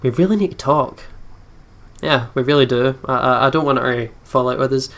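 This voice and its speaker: A man hosting a gaming video, reading story text aloud and thinking out loud in a chatty way. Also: medium pitch, steady tone, a little fast.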